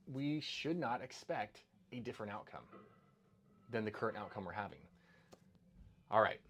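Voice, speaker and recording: Earnest man giving health advice. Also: medium pitch. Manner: earnest, repeats words and restarts often. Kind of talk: casual monologue to camera.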